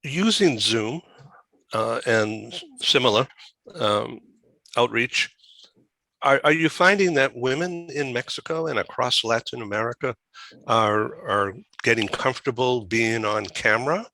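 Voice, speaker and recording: A man hosting a conversational interview, asking halting, rambling questions. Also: mid-range voice, pushed and bright, no room sound, low-quality recording. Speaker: talk show host.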